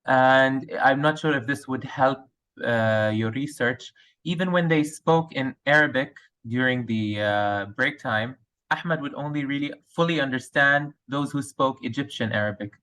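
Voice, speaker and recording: A man's voice: medium pitch, unhurried, sharp tone. Hesitant Lebanese-accented male teacher. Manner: hesitant, many fillers and restarts. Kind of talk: answering interview questions.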